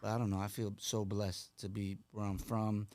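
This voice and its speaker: A man from New York whose first language is Spanish, chatting casually as a podcast guest, animated and preachy, with "you know what I'm saying" again and again. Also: fairly low pitch, normal speed. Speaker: guest, fashion designer.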